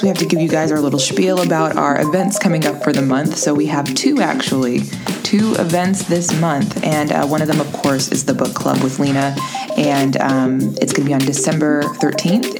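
Woman host, low voice, cheerful announcements over loud music. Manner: bubbly and upbeat, many fillers. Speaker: podcast co-host. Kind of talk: scripted intro and casual chat. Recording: music playing behind the intro.

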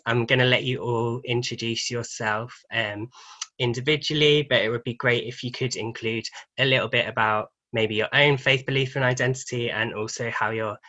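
A man's voice: medium pitch, pushed and bright, poor audio. A man hosting a panel discussion, making introductions in an informal, friendly way. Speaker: host, programmes manager.

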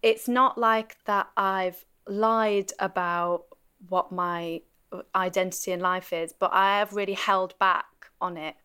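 Smooth-voiced woman, open and hesitant. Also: medium pitch, steady tone, slow pace, sharp tone. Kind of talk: interview.

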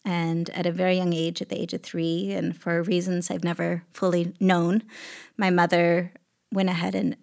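Female interview guest from Alaska, slightly low and gravelly, reflective, deliberate phrasing. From Alaska, United States. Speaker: podcast guest, executive director of an arts venue.

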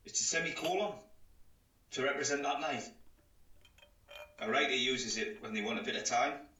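An actor playing a subdued survivor who explains gently and hopefully, in measured, halting radio drama dialogue recorded distant and echoey. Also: male voice, mid-range voice, highs cut off, lightly acted. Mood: quietly hopeful. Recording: distant, echoey, dulled sound.

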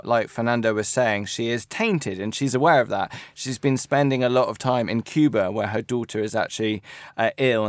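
A man's voice: mid-range voice, no echo. A man working as an editor and correspondent, talking things through in a radio studio discussion, reflective and hedging often. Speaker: Americas editor at large, correspondent.

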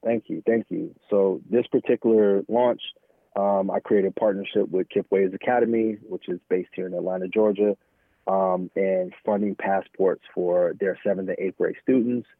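An American man speaking candidly and informally as an interview guest, halting often and peppering his talk with "man" and "you know". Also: deep voice, steady tone, husky, no echo. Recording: thin phone-like line, dry.

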